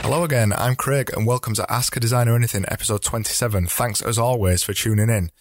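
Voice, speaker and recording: A man hosting a solo advice podcast, talking in a chatty, explanatory way, slightly hoarse as he loses his voice. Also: mid-range voice, fairly even intonation, fast talker, no room sound, studio quality. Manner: chatty, explanatory, with frequent restarts. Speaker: podcast host.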